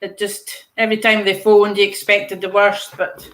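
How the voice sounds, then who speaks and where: An older woman chatting on a video call, speaking softly and trailing off at times, with a dry sense of humour. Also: mid-range voice, flat delivery, normal speed, reverberant. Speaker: participant in a live colouring call. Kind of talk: casual chat.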